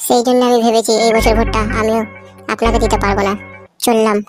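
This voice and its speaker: A woman's voice: a little high, flat delivery, quick pace, music in the background, lightly acted. A woman voicing a foul-mouthed, angry male villager in a cartoon, who scolds and swears constantly. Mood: grumbling. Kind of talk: cartoon comedy voice acting. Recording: noisy homemade recording.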